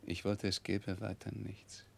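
An actor playing a moody, proud, impatient young gentleman in a 1960s German radio drama, with a husky, gravelly voice. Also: on the low side, monotone, a little slow, full character voice. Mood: restless, moody.

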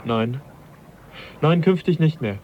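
An actor playing a thoughtful, polite but persistent gentleman naturalist in a German radio drama, with a reflective, measured manner. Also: fairly high pitch, animated. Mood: resigned. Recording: slightly dull old radio recording, faint noise.

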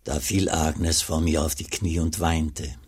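An actor playing an old, pious, melancholy abbot in a German radio play, speaking gravely and solemnly as he looks back, with a gravelly, husky voice. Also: very deep voice, little pitch movement, full character voice. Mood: solemn, reflective. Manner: slow, solemn, measured storytelling.

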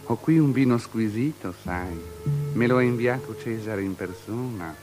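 An actor playing a capricious, lecherous, morbid tetrarch in an avant-garde radio drama, with languid, mannered delivery. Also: male voice, fairly low pitch, normal speed, close to an everyday voice, rough recording. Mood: wheedling. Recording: radio drama with music behind the voice. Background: music.

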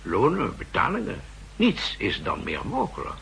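An actor playing a fussy, insistent central-bank expert who explains pedantically, in 1960s radio drama style. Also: male voice, mid-range voice, wildly swooping pitch, normal speed, creaky, bright, forceful tone, exaggerated voice. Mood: urgent, emphatic. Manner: pedantic, emphatic, hesitating and repeating himself. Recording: noisy vintage 1960s radio recording.

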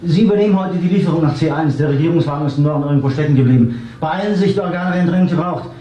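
An actor playing a brusque boss who gives hurried orders in a 1970s radio drama. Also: male voice, a little high, warm tone, dull audio, a little hiss or hum, lightly acted. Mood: urgent. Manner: hurried, commanding. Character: brusque male boss giving urgent orders. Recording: echoey room.

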